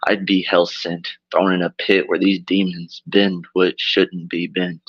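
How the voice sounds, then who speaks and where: A man with a raspy voice, drunk and loopy, delivering a dark rap verse slowly and deliberately. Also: low-pitched, little pitch movement, dull audio. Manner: slow, deliberate delivery of dark, intricate rhymes. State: drunk and loopy after dental surgery. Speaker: rapper performing a verse. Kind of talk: slow spoken-word rap.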